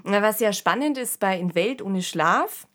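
A woman's voice: mid-range voice, lively intonation, unhurried, a bit bright. A woman co-hosting a podcast, talking casually and analytically, often adding little tag questions. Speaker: podcast co-host. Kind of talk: casual discussion.

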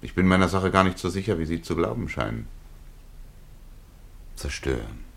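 An actor playing a reflective, embittered burglar and war veteran in a 1950s radio play, soft-spoken, ironic and philosophising. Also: male voice, deep voice, lively intonation, normal speed, creaky, heavily acted. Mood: reflective, persuasive.

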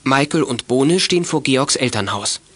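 A man's voice: mid-range voice, lively intonation, normal speed, background noise, close to an everyday voice. A man narrating a children's detective audio drama in scripted storytelling style.

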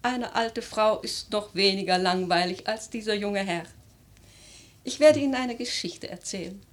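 A woman's voice: medium pitch, moderate pace, close to an everyday voice. Casting: actress as proud old noblewoman, worldly-wise grandmother, lyrical and theatrical, 1940s German radio play. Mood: impatient. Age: older. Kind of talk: 1940s German radio verse drama acting. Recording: 1949 radio play recording.

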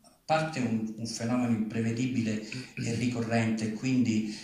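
A man speaking and reading at a live literary event, with a halting delivery. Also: medium pitch, level tone, darkish tone. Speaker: writer reading at a public event. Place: large reverberant venue, live event. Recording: very echoey hall, distant from microphone.

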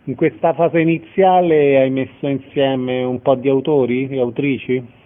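A man's voice: mid-range voice, steady tone, a little slow. A man hosting a radio interview. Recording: narrow, phone-like radio sound.

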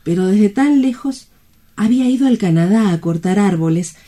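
A woman's voice: mid-range voice, expressive, normal speed, dark tone, lightly acted. A woman acting a frightened, desperate young woman worn down by loneliness, in dramatic radio-play style. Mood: bitter, sorrowful.

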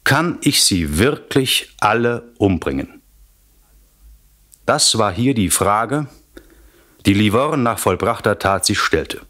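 A man narrating a radio crime play as a scripted recap, wry and deliberate, with dramatic pauses and emphasis. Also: on the low side, animated, fairly bright tone.

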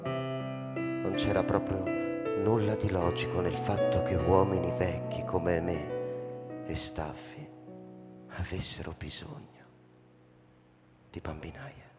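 A pensive old man in a radio drama, weary and introspective. He speaks slowly in a low voice, with halting pauses, while loud piano music plays behind him. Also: in a large hall. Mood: reflective. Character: weary, pensive old man, narrating his own story.

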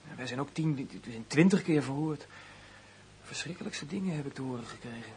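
An actor playing a distraught, doubting grown son in a radio drama, halting and agitated. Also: male voice, mid-range voice, animated, close to an everyday voice. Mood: dejected, wounded. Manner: agitated, halting, hushed then bursting out. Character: distraught, doubting adult son of an accused man. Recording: noisy radio play recording.

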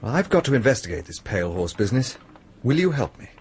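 An actor with a British accent playing an earnest, worried, persistent amateur investigator in a radio drama. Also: male voice, mid-range voice, sing-song, fast, slight background noise. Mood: intent, persistent. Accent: British English.

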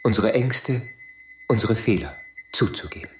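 A man playing a reasonable, conciliatory space traveler in an audio drama, speaking in a measured, earnest way. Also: lowish voice, a little hiss or hum, lightly acted. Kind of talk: scripted audio drama acting. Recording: echoey room.

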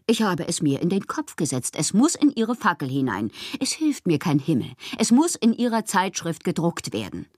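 An actress playing a passionate, eccentric bohemian poetess, reading fanciful letters in a theatrical radio drama monologue with a breathy voice. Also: female voice, fairly low pitch, sing-song, normal speed, hardly any pauses, darkish tone, no room sound, studio quality. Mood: insistent, fervent. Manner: theatrical, dreamy, often slipping into breathy whispers. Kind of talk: dramatic literary monologue in a German radio play.

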